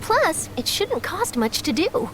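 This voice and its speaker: A woman's voice: very high-pitched, big pitch swings, slow pace, slight background noise, full character voice. A woman voicing a perky, chatty young female reporter in an anime game, eager and teasing.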